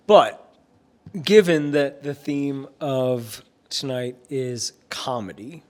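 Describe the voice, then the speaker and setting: A man's voice: mid-range voice, very slow, long pauses, darkish tone. A young man vlogger chatting casually and joking with his partner. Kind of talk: casual chat.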